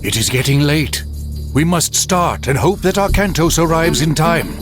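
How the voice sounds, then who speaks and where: An actor playing a grave, steadfast commander in a video game cutscene, speaking deliberately. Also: male voice, a little high, lively intonation, close to an everyday voice. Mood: grave resolve. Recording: loud music behind the voice.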